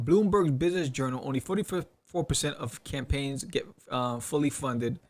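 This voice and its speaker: A man hosting a podcast in a casual solo talk, rambling in an animated way and often throwing out rhetorical questions. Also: mid-range voice, creaky. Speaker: podcast host. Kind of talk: casual solo talk to camera or mic about crowdfunding.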